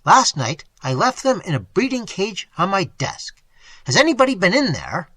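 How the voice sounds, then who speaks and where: A man voicing an excitable, nearsighted little male scientist who shouts angrily. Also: normal speed, dry recording, exaggerated voice. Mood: furious. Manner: shrill, angry, shouting.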